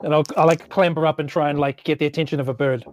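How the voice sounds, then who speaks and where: A woman's voice: low-pitched, fairly even intonation, fast, darkish tone, dry recording, studio quality. A woman with a New Zealand accent talking casually as a role-playing player, politely and a little hesitantly. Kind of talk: casual game talk.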